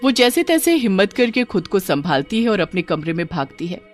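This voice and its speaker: A woman's voice: mid-range voice, very animated, fairly quick, faint music behind. A woman storyteller reading a scripted horror story in a dramatic narrating style.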